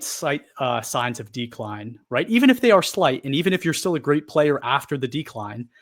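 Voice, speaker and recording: A man co-hosting a football podcast in casual chat, emphatic and animated, piling on rhetorical questions. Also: medium pitch. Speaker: podcast co-host, football analyst.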